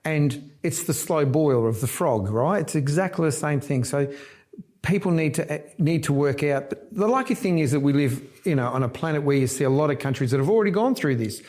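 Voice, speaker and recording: A man's voice: on the high side, lively intonation, brisk, darkish tone. A middle-aged man from Argentina, a politician talking casually and emphatically, often breaking off and restarting words. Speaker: politician guest.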